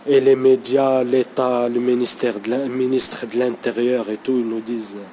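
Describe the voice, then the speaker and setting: A man's voice: medium pitch, flat delivery, moderate pace, dark tone, a little hiss or hum. A man talking off the cuff to his viewers in a language that is not his first, hesitant and full of fillers, often checking in with "you see". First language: not French. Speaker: vlogger. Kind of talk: unscripted talk to camera.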